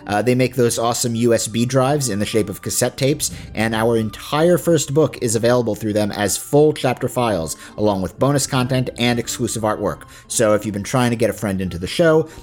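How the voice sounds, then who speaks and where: A man's voice: medium pitch, a little fast, hardly any pauses, darkish tone. A man hosting a podcast, reading sponsor messages in a conversational way with informal asides. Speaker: podcast host. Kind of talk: casual sponsor read. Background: faint music.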